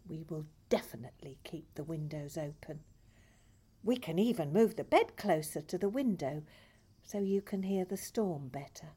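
A woman acts out a patient hospice nurse in an audio drama. Her voice is husky and moves up and down expressively as she gently reassures, with small hesitations. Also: deep voice, animated, moderate pace. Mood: calm, reassuring. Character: patient, caring female hospice nurse on long shifts. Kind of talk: audio play dialogue.

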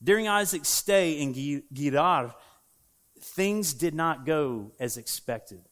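A man with a Southern American accent preaches in a church, his voice sitting a little higher than most men's. He talks to the congregation in a relaxed, conversational way, full of fillers and asides. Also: slow. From United States. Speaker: pastor. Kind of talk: sermon. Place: church sanctuary.

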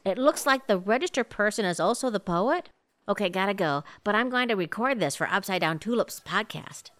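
A woman playing a flustered, lively shopper. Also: medium pitch, brisk, dry recording, pitched below the performer's own voice, lightly acted. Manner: flustered, later whispering asides. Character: flustered woman shopper caught at a poetry reading.

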